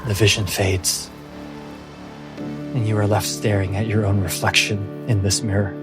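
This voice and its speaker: A man narrating a fantasy tabletop game as game master, in a deliberate, dramatic way with pauses. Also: fairly low pitch, flat delivery. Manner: slow, deliberate, pausing phrasing. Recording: music playing behind the voice. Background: music.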